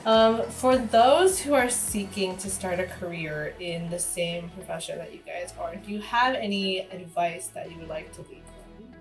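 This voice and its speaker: A woman's voice: on the low side, low-quality recording. Woman moderating a panel with faint music. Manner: warm and encouraging, quick to laugh, laid-back. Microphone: video call.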